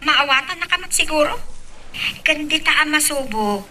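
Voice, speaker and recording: A woman's voice: sits high, expressive, normal speed, pushed and bright, slight background noise, exaggerated voice. An actress playing a supportive young female friend in an Ilocano radio drama, advising and reassuring. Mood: concerned. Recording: distant from the mic.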